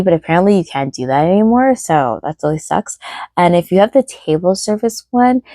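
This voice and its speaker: A woman vlogger chatting casually and ramblingly to camera, a little apologetic, her voice tired and starting to go hoarse. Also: fairly low pitch, darkish tone, no echo. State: tired, low energy.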